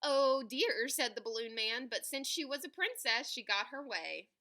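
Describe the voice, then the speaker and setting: Woman doing storytime read aloud, highish bright voice, really expressive, draws out the big words. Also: moderate pace. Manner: animated, dramatic, stretches key words for emphasis. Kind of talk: expressive children's picture book reading.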